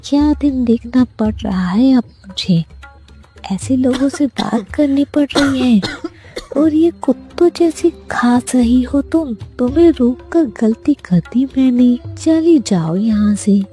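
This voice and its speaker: Woman as a proud young princess, dramatic cartoon delivery, slow, fairly high, soft-toned, light music. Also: husky, full character voice. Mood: disdainful. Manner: self-important, dramatic. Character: vain, haughty young princess. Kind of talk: cartoon storybook character voice.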